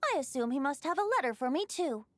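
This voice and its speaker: A woman's voice: high voice, expressive, quick pace, dry recording. A woman voicing a playful, whimsical young male bard in a video game, with a lilting, theatrical manner. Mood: breezy teasing.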